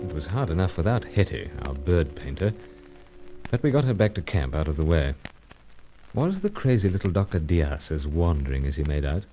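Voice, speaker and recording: An actor playing a brisk British secret agent who also narrates his jungle adventure, in old-time radio serial style. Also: low-pitched. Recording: dull vintage radio recording, noisy.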